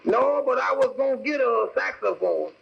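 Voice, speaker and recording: An actor playing a simple, earnest, literal-minded country cab driver in a 1940s radio comedy. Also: male voice, very high-pitched, expressive, normal speed, gravelly, husky, exaggerated voice. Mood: earnest, matter-of-fact. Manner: folksy, literal-minded, ungrammatical. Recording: muffled old 1940s radio recording.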